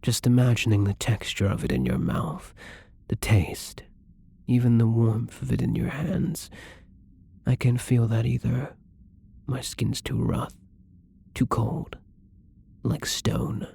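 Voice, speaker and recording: Man as a soft, husky, melancholy vampire. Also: medium pitch, exaggerated voice. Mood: tender, wistful. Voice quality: soft, breathy, husky, often near whisper. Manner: slow, hesitant, brooding, tender. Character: melancholy young male vampire, gentle, lonely, tormented, once human. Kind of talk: intimate ASMR roleplay.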